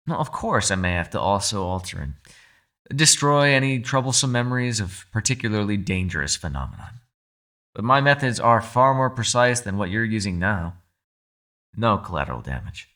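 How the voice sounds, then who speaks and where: A man playing a captive mind-controlling being in an ASMR roleplay, calm, shrewd and persuasive, speaking in a measured, formal, quietly condescending way. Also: fairly low pitch. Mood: calm bargaining. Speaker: voice actor. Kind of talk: ASMR roleplay, dramatic monologue.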